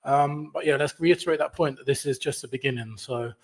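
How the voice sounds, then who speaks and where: A man speaking in a casual online fan chat, quick and matter-of-fact, often adding "man". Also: medium pitch. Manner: quick, flat delivery, says "man" often. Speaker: fan group organiser.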